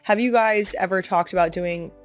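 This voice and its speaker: Woman host, casual questions, quick yeses, faint music. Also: medium pitch. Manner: warm, affirming, many short "yes" replies. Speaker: podcast host. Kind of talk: casual interview chat.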